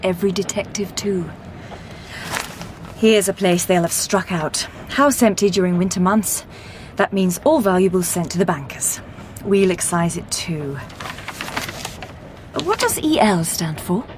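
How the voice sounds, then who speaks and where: An actress playing a shrewd, composed Victorian lady detective in a radio drama, measured and dryly probing. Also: fairly low pitch, lightly acted. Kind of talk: period radio drama acting. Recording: clear background noise.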